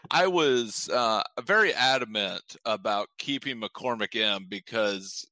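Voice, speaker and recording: A man's voice: animated, slow pace, bright, forceful tone, dry recording. A man co-hosting a casual sports podcast, talking in rambling, frustrated bursts with many restarts. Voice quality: deep voice. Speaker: podcast co-host.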